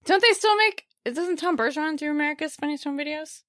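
A woman's voice: high voice, a little fast, a bit bright, no echo, clean studio sound. A woman hosting a book podcast in casual chat, bubbly and talkative, laughing often. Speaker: podcast host.

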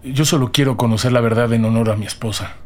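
A man's voice: mid-range voice, fast talker, a little mellow, close to an everyday voice. An actor playing a grieving politician in his sixties, subdued and hesitant, in an audio drama. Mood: subdued, weary. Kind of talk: scripted audio drama dialogue.

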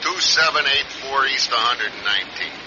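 An actor in an old radio crime drama playing a skeptical, hard-boiled police sergeant who talks in a brusque, sarcastic, interrogating way. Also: male voice, mid-range voice, normal speed, noisy background, natural voice. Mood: dismissive, sarcastic. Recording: dull old radio recording.

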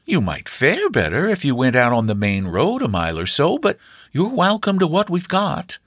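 A man's voice: fairly high pitch, wildly swooping pitch, moderate pace, a bit bright, no room sound, clean studio sound, pitched above the performer's own voice, full character voice. A man voicing an elderly, hospitable country grandmother who talks in a folksy rural way. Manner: folksy rural scolding and offering.

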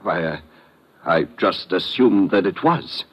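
An actor playing a polished, over-eager official under suspicion in an old-time radio drama, formal and courteous with protesting turns. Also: male voice, sits low, animated, slow pace, exaggerated voice. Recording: muffled vintage radio recording.

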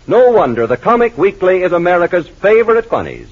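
Dull old radio sound, male announcer, highish husky voice, very lively intonation, emphatic 1940s promotional narration. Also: a little fast. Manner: emphatic salesman delivery. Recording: muffled old radio recording.

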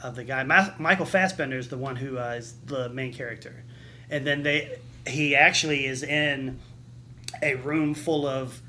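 A man explaining things casually in a group discussion, halting and often repeating his words as he goes. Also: medium pitch, pushed and bright, a little hiss or hum. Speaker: podcast co-host.

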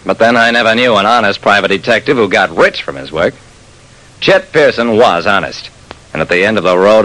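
An actor playing a shrewd, wry private detective, narrating and talking in hard-boiled old-time radio style. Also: male voice, on the low side, a bit bright, rough recording. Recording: vintage radio broadcast sound.

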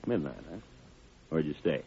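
A man's voice: extremely low pitch, animated, normal speed, warm tone, breathy edge, full character voice. An actor playing a shrewd, suspicious lawman with clipped, slangy, probing talk in an old radio crime drama. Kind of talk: 1940s-50s radio crime drama acting. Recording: tinny band-limited old radio recording.

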